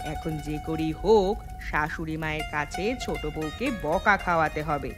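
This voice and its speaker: A woman's voice: low-pitched, moderate pace, close to an everyday voice. A woman voicing a scheming, jealous young daughter-in-law in a cartoon story, with a theatrical, gloating delivery. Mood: jealous plotting. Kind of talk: Bengali cartoon storytelling voice acting. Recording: background music under voice.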